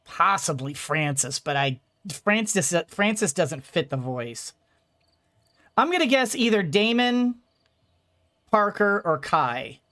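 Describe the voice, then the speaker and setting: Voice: mid-range voice, very animated, slow, pushed and bright. A let's play streamer giving casual game commentary, rambling and thinking aloud.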